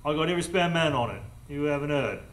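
A man's voice: on the high side, lively intonation, quick pace, close to an everyday voice. Man playing a scornful police inspector. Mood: scornful, suspicious. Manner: dismissive, sarcastic. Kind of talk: live radio drama acting. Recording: live stage with background noise.

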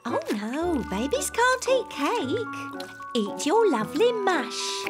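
High, cooing British nursemaid. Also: female voice, very animated, slow, full character voice. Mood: brisk coaxing. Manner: singsong baby-talk, fussing. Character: cooing, cheerful royal nursemaid, adult woman. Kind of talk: preschool cartoon acting. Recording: loud music behind.

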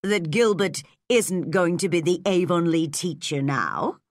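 A woman's voice: on the low side, animated, a little slow, no room sound, clean studio sound. A woman voicing a gossipy older village woman sharing news.

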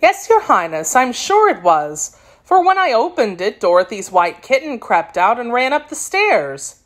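A woman's voice: fairly high pitch, very animated, a little slow, voice pushed up. A woman voicing a dutiful young maid in a children's storybook, earnest and deferential. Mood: troubled. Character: dutiful young maid reporting to her princess.

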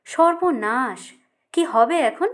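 Middle-aged mother, played by a female storyteller: high, tearful, reproachful, exclaiming; audiobook drama. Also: lively intonation, slow. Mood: distressed. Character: anguished middle-aged Bengali mother, scolding and pleading.

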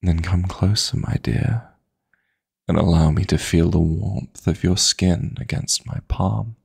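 A man playing a seductive, possessive yet tender dream demon lover in an intimate audio roleplay, murmuring flirtatious endearments in a husky, breathy voice close to the mic. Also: measured pace, no echo, heavily acted. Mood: seductive, adoring. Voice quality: gravelly, husky, breathy low voice. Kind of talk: intimate romantic sleep-aid audio roleplay. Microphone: close, dry studio-style microphone.

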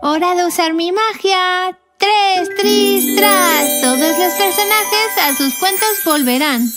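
A woman's voice: extremely high pitch, slow. A woman voicing a cheerful, curious little witch girl in a children's cartoon, with a Castilian Spanish accent. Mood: magical, confident. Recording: children's music behind the voice. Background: children's background music.

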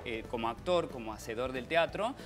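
A man's voice: medium pitch, brisk, over music. A man in his thirties with a Córdoba Argentine accent, an actor speaking passionately as a guest in a TV studio interview. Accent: Cordobés Argentine Spanish. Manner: passionate, animated with hand gestures.

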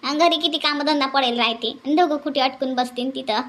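A woman's voice: high-pitched, a little fast, fairly bright tone. A woman voicing a complaining, gossipy village woman in a comedy cartoon, with a Varhadi Marathi accent and repeated exclamations. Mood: plaintive. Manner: repeats phrases, exclaims often. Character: complaining, gossipy village mother-in-law and her grumbling married daughter.

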